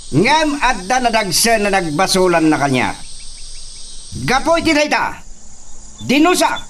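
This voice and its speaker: Cruel, commanding young estate master, male actor, high-pitched with big swings, radio drama, faint background noise. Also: fairly bright tone, full character voice. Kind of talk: Ilocano radio drama acting.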